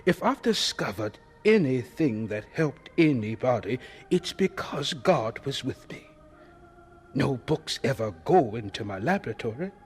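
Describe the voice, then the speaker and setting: Old devout scientist, humble, raspy-husky, voice swoops around, radio play. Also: male voice, mid-range voice, big pitch swings, a little slow, soft background music. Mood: solemn, fervent. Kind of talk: radio drama acting.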